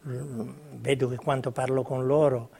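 Elderly man reminiscing haltingly, medium pitch. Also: flat delivery, vocal fry, warm tone, slightly rough. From Italian colonial Libya. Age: older, over 70. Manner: halting, reminiscing, with repeated words. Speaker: witness recalling his childhood. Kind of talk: spontaneous recorded testimony.

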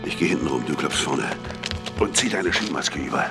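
Hard-boiled enforcer: very low, curt and dismissive, radio crime drama. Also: male voice, expressive, normal speed, creaky, full character voice. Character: tough, curt, hard-drinking male gangland enforcer. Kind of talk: audio drama acting. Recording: loud music under voice.

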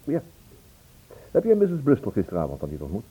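A man acting in a Dutch radio play as a brisk, blunt police inspector with a conversational delivery. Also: fairly low pitch, wildly swooping pitch, slightly rough, exaggerated voice. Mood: exasperated. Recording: thin narrow-band old radio recording.

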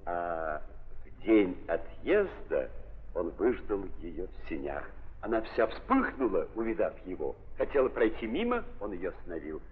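A man's voice: low-pitched, very animated, measured pace, slight background noise. A man narrating a radio drama in a theatrical 1930s manner, repeating phrases for emphasis. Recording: muffled 1930s radio recording, long hall reverb.

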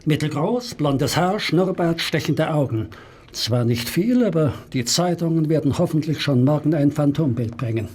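An actor playing a confident, teasing police inspector in a radio play, with a husky voice and a persuasive manner. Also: male voice, a little high, expressive, normal speed, a little mellow, a little hiss or hum, lightly acted. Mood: businesslike. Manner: assured, persuasive.

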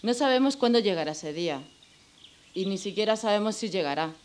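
A woman from playing a pragmatic, cautious rebel in a radio drama, measured and gently urging. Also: medium pitch, expressive, slight background noise, lightly acted. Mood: cautious, resigned. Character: pragmatic female rebel, cautious lover and comrade.

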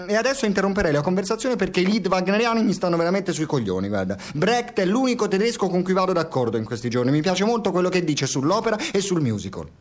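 An actor playing a frustrated, foul-mouthed scientist in an Italian radio drama, sarcastic and given to profane ranting. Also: male voice, high-pitched, sing-song, quick pace, few pauses. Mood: indignant, pompous. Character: frustrated, foul-mouthed male scientist turned reluctant playwright. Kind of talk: Italian radio theatre acting.

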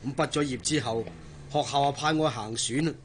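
An actor playing an earnest, devoted young sailor in a radio drama, speaking colloquially and solicitously with a Hong Kong Cantonese accent. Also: male voice, mid-range voice, a little fast, a little hiss or hum, natural voice. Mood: candid, reflective.

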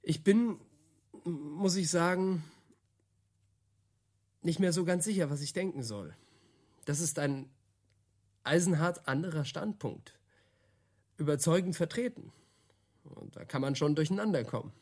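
A man's voice: a little high, unhurried, long pauses, darkish tone. An actor playing a curious male youth-radio interviewer, talking colloquially and a little hesitantly in a naturalistic radio drama. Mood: baffled, unsure.

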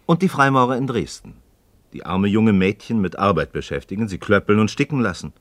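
A man's voice: lowish voice, lively intonation, a little fast, no echo, close to an everyday voice. An actor playing an inquisitive, skeptical man in a radio play, speaking articulate, rhetorical in philosophical dialogue. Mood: eager, ironic.

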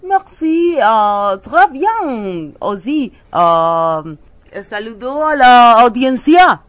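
An actress plays a French woman scientist in a radio play, with a raised pitch and a French accent. She speaks slowly and formally, hesitating often as she delivers solemn pseudo-science. The sound is dry and close. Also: big pitch swings, no echo, exaggerated voice. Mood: courteous, hesitant. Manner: halting, hesitant, formal lecturing. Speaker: interviewed expert guest. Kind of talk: satirical radio theatre interview.

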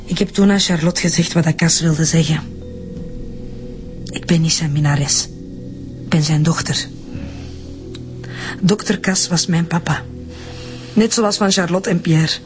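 An actress with a Flemish accent playing an earnest, frightened daughter explaining herself in a radio drama. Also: female voice, on the low side, measured pace, pauses often, soft background music, close to an everyday voice. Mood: earnest, sorrowful. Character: frightened, earnest secret daughter confessing family history.

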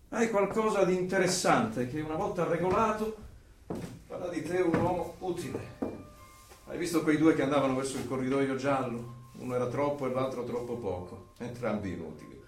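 An actor playing a cold, controlling regime interrogator in a radio drama, speaking in a measured, didactic, menacing way with authority. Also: male voice, mid-range voice, animated, natural voice. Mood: calm menace. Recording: roomy, distant, faint noise.

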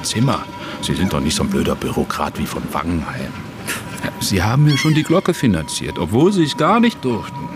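An actor in a radio play as a grandiose middle-aged schemer, wheedling and theatrical with drawn-out phrases. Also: male voice, low-pitched, sing-song, music in the background. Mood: pleading, persuasive. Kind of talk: radio play acting, dramatic dialogue.

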